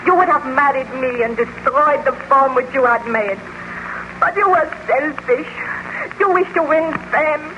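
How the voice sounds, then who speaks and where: A muffled, noisy old radio recording of a passionate, accusing wife. She declaims theatrically in an extremely high voice, in 1930s melodrama style. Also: a little slow. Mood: bitter, accusing. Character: distraught, reproachful wife of a scientist, passionate and accusing.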